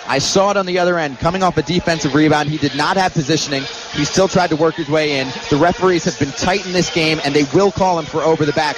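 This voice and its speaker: A man giving emphatic, instructive color commentary on a live basketball radio broadcast. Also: a little high. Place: live from a college basketball arena. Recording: noisy arena radio broadcast.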